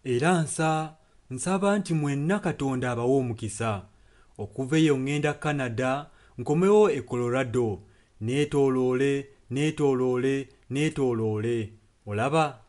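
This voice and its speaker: A man's voice: medium pitch, normal speed, darkish tone. A Ugandan man interpreting a sermon earnestly, phrase by phrase. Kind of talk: consecutive sermon translation. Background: music.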